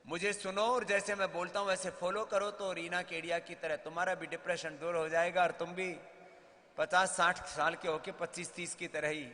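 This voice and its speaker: A man's voice: high voice, sharp tone. A middle-aged man speaking as a yoga guru, preaching health advice to a live gathering in an emphatic, exhorting manner. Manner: exhorting, emphatic, listing remedies. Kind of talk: sermon-like health talk to a live audience. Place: large hall, live gathering. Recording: echoey large hall sound system.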